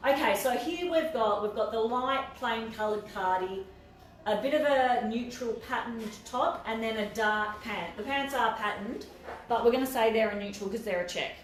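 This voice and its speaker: Woman, soft quiet voice, chatty boutique co-host, a bit high, heard from across a bouncy room. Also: normal speed, very echoey, recorded from a distance, poor audio. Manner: chatty, hesitant, lots of fillers. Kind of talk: casual live-stream chat. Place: echoey room. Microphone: no mic, far from laptop camera.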